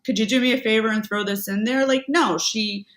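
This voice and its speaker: Chatty woman in an echoey room. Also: on the high side. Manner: chatty, quick asides and self-corrections, lively laughs. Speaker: podcast guest, Amazon seller. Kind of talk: casual conversational interview.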